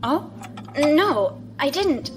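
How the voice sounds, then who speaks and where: A woman's voice: high-pitched, very animated, extremely slow, a little hiss or hum, exaggerated voice. A woman playing a brash, wry spaceship crew officer in a science fiction audio drama, casual and blunt. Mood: eager, cheeky. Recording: music behind the voice.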